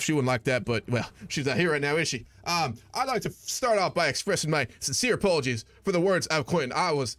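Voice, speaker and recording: A man's voice: on the high side, a bit bright, no room sound. A young man streaming a multiplayer strategy game, roleplaying a head of state with blustery, emphatic declarations over a voice call from a small room at home.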